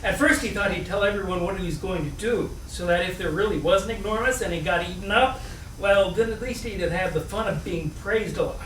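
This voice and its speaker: A man's voice: sits high, a little fast. Playful man reading aloud in echoey room. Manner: expressive, playful. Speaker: reader at a live book reading. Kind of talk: storybook narration with chatty asides. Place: indoor room at a live reading. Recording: echoey room, distant mic, faint noise.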